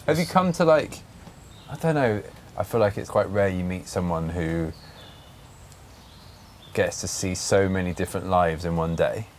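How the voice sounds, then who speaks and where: A man hosting a casual conversational interview outdoors, feeling his way through questions in halting, repeated phrases. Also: sits low, a little mellow, soft background music. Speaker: podcast host interviewing a stranger. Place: outdoors on a park bench. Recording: faint outdoor ambient noise.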